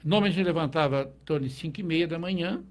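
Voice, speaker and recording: A middle-aged Brazilian man with a fairly high speaking voice, telling his story in a relaxed interview. He reflects as he goes, breaks off to restart phrases and keeps checking in with his listener. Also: fast. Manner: reflective, with frequent restarts and tag questions. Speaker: guest, teacher. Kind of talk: conversational interview.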